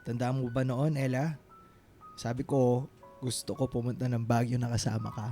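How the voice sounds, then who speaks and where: A man's voice: mid-range voice, little pitch movement, normal speed, mellow, soft background music, natural voice. A man playing a friendly, nostalgic former sweetheart in a romantic radio drama, with a hesitant, boyishly eager manner. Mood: nostalgic, tender.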